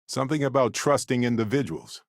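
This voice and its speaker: An actor playing an easygoing, good-hearted male space explorer in a video game, with a wry, chatty and playfully expressive delivery. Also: medium pitch, a little fast, no room sound, studio quality, natural voice. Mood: earnest, reflective. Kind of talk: video game character dialogue.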